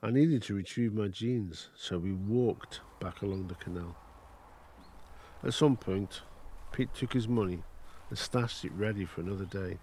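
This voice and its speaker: A man's voice: lowish voice, a little slow, mellow, slight background noise. A man playing a wry grown-up narrator looking back on his boyhood in an amateur audio drama. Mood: wistful.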